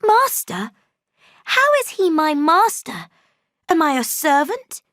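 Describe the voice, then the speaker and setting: A defiant young orphan girl, fierce and passionate, in a storybook reading, played by a woman. Her voice rises high and firm when she protests. The sound is close and dry. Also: very animated, takes it slowly, fairly bright tone, no echo, heavily acted.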